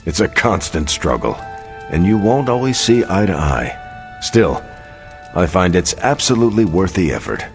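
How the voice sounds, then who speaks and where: A man playing a modest veteran soldier superhero in a video game, speaking in a measured, sincere, plain-spoken way with music behind him. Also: deep voice, sing-song. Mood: earnest, modest. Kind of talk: video game cutscene acting. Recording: background music under the voice.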